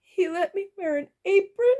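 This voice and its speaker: A distraught female goblin cook from a storybook, acted out by a woman, wailing in a very high voice. She is meek yet dramatic, and her pitch leaps about as she draws out her words. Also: sing-song, takes it slowly, dull audio, exaggerated voice. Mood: tearful, wailing. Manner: wailing, overwrought.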